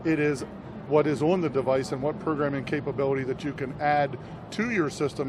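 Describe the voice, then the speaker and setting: A middle-aged man giving explanatory product talk as a company representative, into an interviewer's handheld microphone. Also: mid-range voice. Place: large busy trade show hall, indoors. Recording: noisy exhibition hall behind voice.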